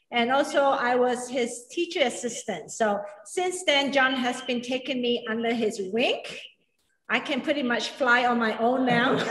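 Middle-aged woman chef, unhurried and chatty, kitchen with long reverb. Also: a little high, fairly bright tone. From Singapore and Malaysia. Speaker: chef and culinary educator. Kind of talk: cooking demonstration. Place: large commercial kitchen. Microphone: camera at a distance.

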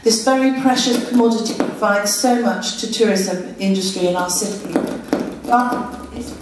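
A woman's voice: mid-range voice, fairly even intonation, moderate pace, very noisy. A woman, a parliamentary candidate, reading a prepared opening statement at a public meeting, a little hesitant at times. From England. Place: meeting room with audience. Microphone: far room camera. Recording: echoey room from far off.

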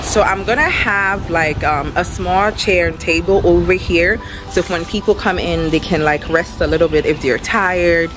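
A young woman chatting casually and excitedly to viewers as a vlogger and business owner, recorded on a camera at arm's length. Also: on the low side, normal speed, few pauses, music in the background. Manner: excited, upbeat. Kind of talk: casual vlog chat to viewers. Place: outdoor storefront and bare empty shop room.

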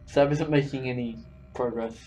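Young male sidekick, medium pitch, casual teasing chat with game sound underneath. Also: steady tone, moderate pace, a little mellow, recorded from a distance, soft background music, low-quality recording. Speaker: co-commentator watching the player. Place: indoor room at a computer, on webcam.

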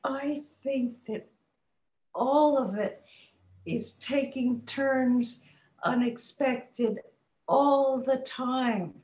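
An older woman, an author, answering interview questions over a video call, pausing often with fillers and restarts. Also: high-pitched, a little mellow. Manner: halting, many fillers and restarts. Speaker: author and guest. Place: home study with bookshelves, indoors. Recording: roomy echoey home video call.

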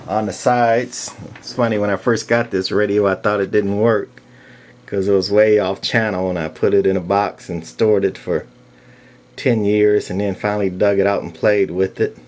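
A man with a fairly low voice talks through a piece of gear casually and without a script. His delivery is matter-of-fact and halting, broken by pauses as he goes. He is recorded indoors on a handheld camcorder's own mic. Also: fairly even intonation, a little mellow. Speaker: vintage radio collector presenting a walk-through. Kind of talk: casual unscripted product walk-through. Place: indoors, small room. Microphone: handheld camcorder's built-in mic.

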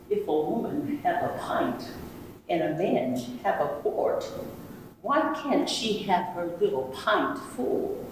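A woman's voice: lowish voice, a little mellow, a little hiss or hum. A woman reading as a fervent elderly orator. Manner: impassioned, preaching cadence. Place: museum hall, indoors. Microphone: far camera. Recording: echoey room, far from camera.

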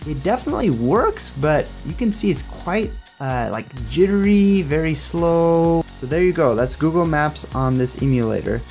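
A man's voice: fairly high pitch, expressive, moderate pace, hardly any pauses, a little mellow, faint music behind. A young man giving a casual, upbeat hands-on tech demo and tutorial in a conversational, explanatory way. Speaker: tech reviewer and presenter.

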